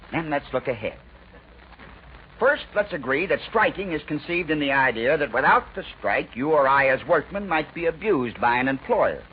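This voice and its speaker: A man with an American accent delivers a scripted 1940s radio editorial in an oratorical, emphatic way, with deliberate rhetorical pauses. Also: medium pitch. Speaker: radio news commentator. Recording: dull, noisy 1940s radio recording.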